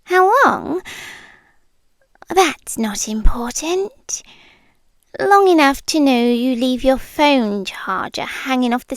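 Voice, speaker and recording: A British woman voicing a shy, smitten monster under the bed in soft, breathy, intimate ASMR roleplay, hesitant and dryly awkward, often dropping into whispers. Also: high voice, dry recording, clean studio sound, full character voice. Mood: teasing, calm. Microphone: close microphone, dry.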